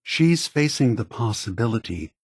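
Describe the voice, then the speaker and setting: An actor playing an ancient synthetic android leader in a video game, calm, sorrowful and earnest, with measured, deliberate delivery. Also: male voice, mid-range voice, breathy edge, no room sound, clean studio sound. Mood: grave, earnest. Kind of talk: scripted video game dialogue.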